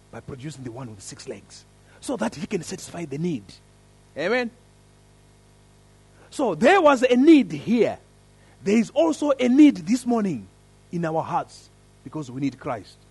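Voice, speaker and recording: A man with an African accent leading a church service, praying and then telling a story in an earnest, lively way. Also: high voice, husky. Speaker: preacher. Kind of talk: sermon with prayer and story.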